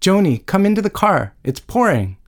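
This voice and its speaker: A man's voice: high-pitched, big pitch swings, moderate pace, pitched above the performer's own voice. A man voicing an older woman academic in a story, a doting mother who is earnest, persuasive and placating. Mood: tender, urging.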